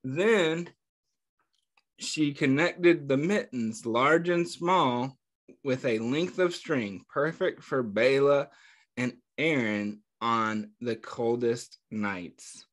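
A man reading a picture book aloud to children, pausing to ask them gentle questions between passages. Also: medium pitch. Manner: slow, pausing reading with small slips. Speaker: children's storyteller. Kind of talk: read-aloud storytime.

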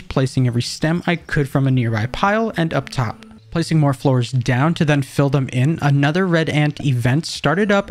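A man with a somewhat raised pitch narrates his progress through a survival game. His recap is steady and reflective, delivered as voice-over. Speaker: gaming narrator.

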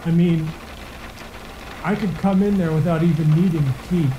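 An actor playing a rule-obsessed rental owner in a horror audio drama, measured and politely matter-of-fact in his menace. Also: a little high, fairly even intonation, dark tone, reverberant, slight background noise, lightly acted, rough recording. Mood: calm menace.

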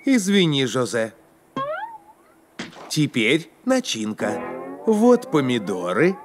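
A man's voice: medium pitch, wildly swooping pitch, slow pace. A man voicing a friendly, patient adult helper in a children's cartoon, talking in an encouraging, explanatory way. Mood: patient, coaxing. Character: friendly helpful adult male cartoon narrator, patient companion. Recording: music behind the voice.

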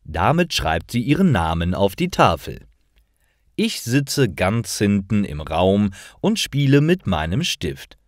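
Male storyteller for language learners: deliberate, highly animated intonation, dry close recording. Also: no echo. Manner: deliberate, carefully phrased. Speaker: narrator of stories for language learners.

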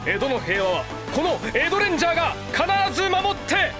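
Swooping, righteous ranger hero. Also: male voice, very high-pitched, big pitch swings, a little fast. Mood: heroic. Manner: heroic, declamatory. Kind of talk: superhero show parody in voice anime. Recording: music bed behind the voice.

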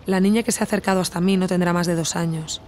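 An actress with a Spanish accent from Spain playing an earnest, reflective woman investigator who narrates her audio documentary in a measured, intimate way. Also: lowish voice, steady tone, a little mellow, a little hiss or hum, lightly acted. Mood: reflective, absorbed. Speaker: podcast narrator and protagonist. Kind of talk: intimate scripted narration and natural dialogue in an audio drama.

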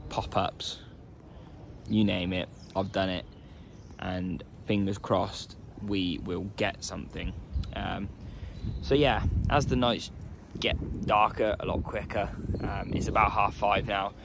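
A young man in his twenties filming himself on a phone by a lake, talking casually to camera in a low-key, resigned way with plenty of "um"s. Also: steady tone, slow pace, vocal fry, background noise. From England. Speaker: carp fishing vlogger. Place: outdoors by a lake. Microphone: phone camera held at arm's length.

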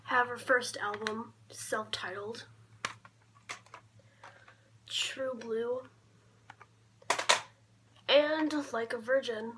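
A young woman talking casually in a low-key show-and-tell vlog, often trailing off, recorded on a camera mic in a bedroom. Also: steady tone, very slow, pauses often. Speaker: vlogger showing a collection. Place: small cluttered bedroom, indoors. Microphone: camera mic at arm's length. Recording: clear background noise, handling clicks.

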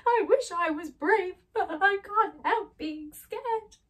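A woman's voice: very high-pitched, takes it slowly, fairly bright tone, distant mic, pitched above the performer's own voice, exaggerated voice. A woman voicing a cowardly male lion who sobs as he talks, in a storybook reading. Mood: tearful. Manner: sobbing, trembling. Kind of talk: storybook read-aloud.

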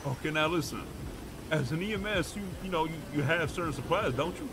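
A man's voice: on the high side, fairly quick, background noise. A man roleplaying a pushy, streetwise, profane character in a game, talking in slang. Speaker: roleplay gamer streaming.

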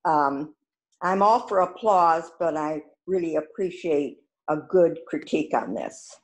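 Older lady, kinda low voice, blunt and emphatic, giving feedback on a play. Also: lively intonation, unhurried. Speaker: playwright. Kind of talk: informal critique and thanks at a play-reading talkback.

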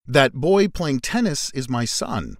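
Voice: medium pitch, very animated, slow, no room sound, studio quality. A speaker reading the translation of each drill sentence in a short scripted read-out. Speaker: English translation reader.

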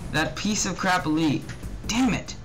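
A young man hosts a casual let's play. His voice is on the high side, excitable and given to grumbling reactions. Game music is clearly audible behind him. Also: unhurried. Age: teens or young adult. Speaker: gaming video host.

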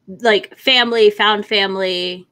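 Young female panelist, bright and sharp, casual and unfiltered in conversation. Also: medium pitch, fairly even intonation, measured pace. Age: young adult. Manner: informal, profane. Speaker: book discussion panelist. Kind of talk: casual chat. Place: indoor home room on a video call.

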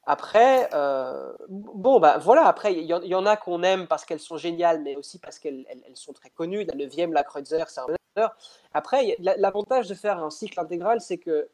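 A young man, not a native speaker, answers questions from his room over a headset on a video call. His voice sits a little high, and he talks thoughtfully, often restarting his phrases. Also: expressive, brisk, a little mellow. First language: not French. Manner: thoughtful, hesitant, frequent restarts. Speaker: pianist interviewed. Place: indoor room at home.

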